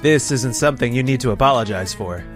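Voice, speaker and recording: A man voicing a calm, easygoing young fish supplier in a comic dub, reassuring and unruffled. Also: mid-range voice, quick pace, soft background music, lightly acted. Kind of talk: dubbed comic voice acting.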